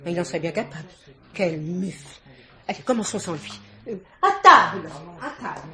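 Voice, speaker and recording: An actress playing an imperious, chattering middle-aged society hostess in a theatrical, exclamatory French radio mystery play. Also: female voice, fairly low pitch, animated, normal speed, sharp tone. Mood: exasperated.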